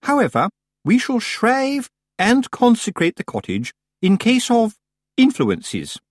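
Prim, pompous lady witch played by a male narrator: very high, swooping, over-polite. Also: huge pitch swings, slow pace, no echo, studio quality, heavily acted. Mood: pompous, grave. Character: haughty, primly formal middle-aged female witch.

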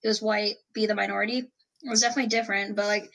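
A young woman speaking softly and hesitantly in a casual chat. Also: mid-range voice, steady tone, rapid-fire, gravelly, rough recording. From United States.

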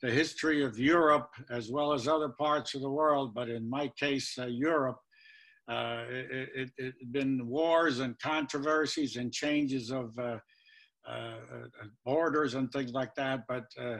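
A man in his eighties, born in Lithuania, answering interview questions about his childhood, with many pauses and fillers. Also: medium pitch, steady tone. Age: 80s. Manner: halting, many uh fillers, trails off quietly. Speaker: guest.

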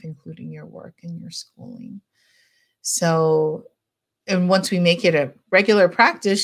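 A middle-aged woman psychologist speaking as a podcast guest, gentle and chatty, with frequent fillers and easy laughter. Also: on the low side. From United States. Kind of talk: casual podcast interview.

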